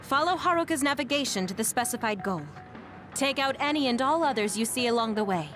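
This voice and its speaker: A woman's voice: sits high, expressive, a little fast. A lilting, genial female commander. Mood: commanding. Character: genial, easygoing female military squad commander. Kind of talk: English anime-game voice acting. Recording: music behind the voice.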